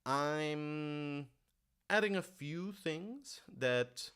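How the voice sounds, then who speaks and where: Clear-voiced man in casual livestream chat. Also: a little high, animated, extremely slow, fairly bright tone. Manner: informal, thinking aloud with self-corrections. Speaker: podcast writer-director hosting a livestream Q&A.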